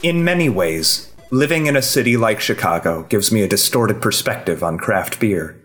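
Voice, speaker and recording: A man with a fairly low voice reads a written essay as a podcast host. His delivery is scripted narration, with music in the recording. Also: animated, moderate pace, fairly bright tone.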